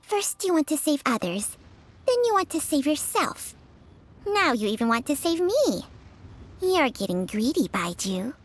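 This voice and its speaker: A woman's voice: sits high, very animated, a little slow. A woman voicing an old female snake spirit with a dry, teasing, weary way of talking. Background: game score music.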